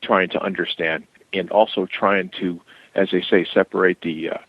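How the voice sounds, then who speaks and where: A man with a very deep voice, speaking carefully and pausing on fillers as a guest. His voice comes through a dull, dry, muffled line. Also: fairly even intonation, dry recording. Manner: measured, hesitant with many fillers. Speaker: interview guest. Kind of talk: casual phone interview.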